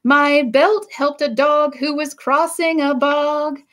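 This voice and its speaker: A kind and cheerful storybook giant, acted out by a woman, speaks in a high, expressive voice. His delivery is sing-song and unhurried, made for small children. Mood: proud sing-song. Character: kind, cheerful male giant who helps animals.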